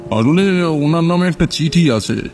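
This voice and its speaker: A man's voice: fairly high pitch, expressive, unhurried, darkish tone, soft background music, voice pushed up. A man playing a courteous, modest and sceptical letter-bearer in cartoon story dialogue. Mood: polite inquiry.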